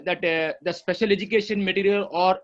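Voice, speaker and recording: A man's voice: high voice, steady tone, a little fast. A Tamil-speaking man with an Indian English accent asking hesitant, polite questions as a parent. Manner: hesitant, many fillers, polite. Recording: dull muffled call audio.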